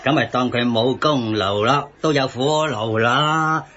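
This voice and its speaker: A man's voice: mid-range voice, unhurried, pushed and bright. An actor with a Hong Kong Cantonese accent playing a wry, conversational man in satirical radio drama banter. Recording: slightly dull radio broadcast.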